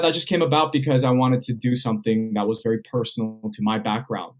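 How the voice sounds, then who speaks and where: A man's voice: mid-range voice, rough recording. A young man from Southern California, a magician guest on a podcast, explaining how he builds his routines and acting out bits of past conversations. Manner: explains step by step, acts out remembered dialogue. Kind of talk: podcast interview answers.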